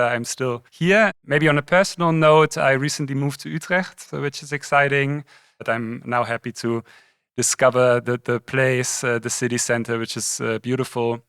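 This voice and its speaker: A man's voice: mid-range voice, fairly bright tone, clean tone, no room sound, clean studio sound. A man in his thirties from Germany with a German accent, a guest chatting in a friendly, thoughtful way on a podcast. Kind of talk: casual conversation.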